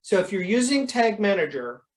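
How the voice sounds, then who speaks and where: Instructor, mid-pitched, informal coaching Q&A on a video call, explanatory and conversational. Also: sing-song, moderate pace. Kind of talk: informal question-and-answer coaching.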